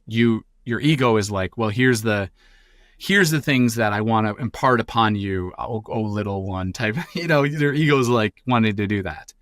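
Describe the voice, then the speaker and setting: A talkative man reflecting on listening as a guest, animated and self-aware. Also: on the low side, no echo, studio quality. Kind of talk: reflective interview answer.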